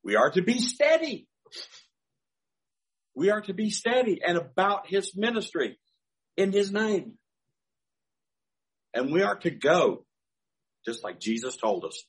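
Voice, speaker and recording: Older male pastor, folksy storyteller, a bit high-pitched, animated delivery. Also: slow pace, pauses often. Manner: folksy storytelling, chuckling asides. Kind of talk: sermon with personal anecdotes.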